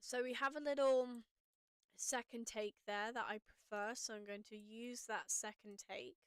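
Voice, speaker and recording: A woman with a slightly raspy voice, casually walking viewers through audio editing on a live stream and thinking aloud as she works. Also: fairly high pitch, fairly even intonation, moderate pace, fairly bright tone, no echo, clean studio sound.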